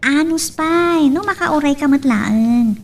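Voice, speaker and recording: A woman's voice: high voice, slow. A woman with an Ilocano accent playing a coy young woman in an audio drama, recorded at a distance. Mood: coy, teasing.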